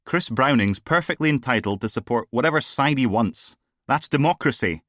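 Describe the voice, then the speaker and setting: A man voicing a tired, dry-witted male detective who answers with flat exasperation. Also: mid-range voice, normal speed, no echo, pitched above the performer's own voice, close to an everyday voice. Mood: exasperated.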